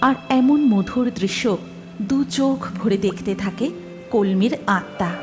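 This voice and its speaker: A woman's voice: medium pitch, a little slow. A woman narrating a scripted cartoon story. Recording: music behind the voice.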